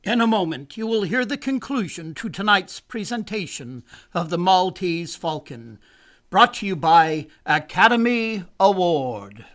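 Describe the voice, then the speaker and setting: A man's voice: high voice, sing-song, measured pace, a bit bright. A man announcing a radio drama in the style of a 1940s broadcast presenter. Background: music.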